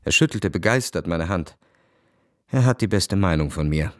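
An actor playing a cynical, world-weary young officer narrating his diary in a cool, measured, introspective way. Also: deep voice, animated, no echo, clean studio sound. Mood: matter-of-fact. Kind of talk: literary radio drama narration, diary-like first person.

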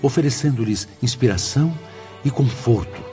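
An actor playing a grave, fatherly spirit director in a Brazilian radio drama, speaking in a solemn, measured and formal way with a Brazilian accent. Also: mid-range voice, animated, mellow, close to an everyday voice. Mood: calm, instructive. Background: music.

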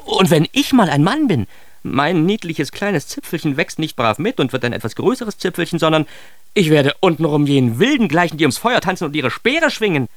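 A man's voice: a little high, very animated, brisk. A man playing a neurotic, self-mocking narrator of his own childhood in a radio drama, in standard German with a wry, theatrical manner. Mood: bemused.

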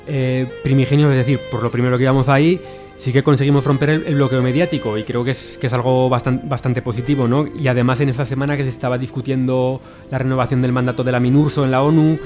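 A man, an activist guest on a radio interview, speaking earnestly and often repeating words as he thinks. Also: medium pitch.

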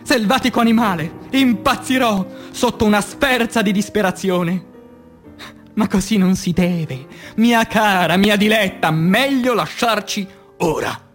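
A male actor performs a dramatic poetry recital for radio theatre in a high-set voice. His delivery is declamatory and impassioned, moving between hushed phrases and loud, forceful ones. Music plays softly behind him. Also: measured pace. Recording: music under the voice.

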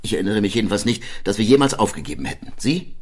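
A man playing a determined middle-aged inventor in an audio docudrama, brisk and commanding with his partner. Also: fairly low pitch, lively intonation. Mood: resolute.

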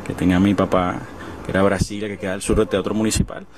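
A man in his forties with a Venezuelan accent, casually recalling things in an interview, halting and repeating words. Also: low voice, little pitch movement, background noise. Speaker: bookseller being interviewed.

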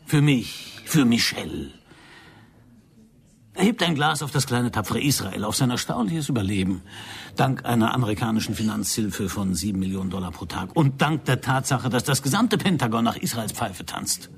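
An actor playing an intense, controlling secret agent in a radio drama, with a husky voice and an insistent, probing, theatrical manner. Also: male voice, mid-range voice, animated, moderate pace, full character voice. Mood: impassioned, bitter.

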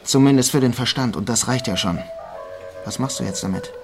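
A responsible, scolding brother in a radio play voiced by a man. His voice sits at a middle pitch and is strict and exasperated, with music behind it. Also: fairly quick, close to an everyday voice. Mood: wary. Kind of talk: German radio drama acting.

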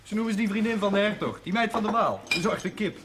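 An actor playing a cheeky, restless young adult son in a naturalistic 1970s Dutch television drama. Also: male voice, sits high, fast, natural voice. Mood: teasing. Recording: noisy old TV soundtrack, clinking crockery.

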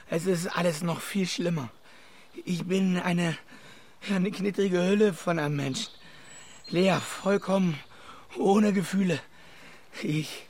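An actor playing a desperate, self-pitying middle-aged husband and failed writer in a German radio play. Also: male voice, high voice. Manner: agitated, self-pitying, emphatic.

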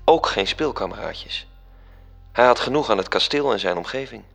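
A man's voice: mid-range voice, lively intonation, normal speed, vocal fry, fairly bright tone, faint music behind. An actor narrating a radio drama as an angel telling a story to his superior. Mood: calm.

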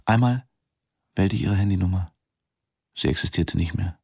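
Casting: weary diving instructor, deep voice, sober understated delivery, radio drama with music underneath. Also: male voice, moderate pace, creaky. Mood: sombre. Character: sombre, weary male diving instructor telling his story. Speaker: first-person narrator. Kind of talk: radio drama narration and dialogue.

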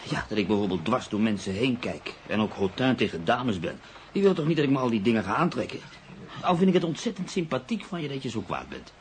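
An actor playing a cultured, calmly ironic journalist and writer in a Dutch radio drama, speaking in a measured, reflective, formal way. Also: male voice, mid-range voice, a little hiss or hum, close to an everyday voice. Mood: dismissive. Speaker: lead actor and first-person narrator.

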